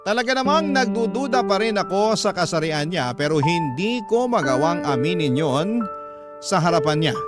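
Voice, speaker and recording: Higher-voiced male storyteller over music. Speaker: radio drama host and narrator. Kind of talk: scripted first-person story narration. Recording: music bed under the voice. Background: background music.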